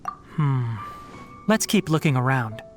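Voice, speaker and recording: A thoughtful young game investigator, measured and pondering. The pitch moves expressively, and faint music plays behind. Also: male voice, expressive. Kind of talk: video game voice acting.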